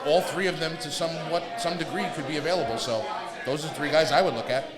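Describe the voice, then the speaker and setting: A man co-hosting a live sports talk show at a bar, giving unscripted analysis in a halting way, restating phrases as he goes. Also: fairly high pitch, crowd noise. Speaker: fill-in co-host. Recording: music behind, live bar. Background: music.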